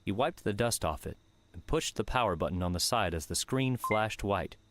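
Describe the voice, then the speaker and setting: A man narrating an audiobook in a measured, steady reading manner. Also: fairly low pitch, noisy background. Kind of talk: scripted narration.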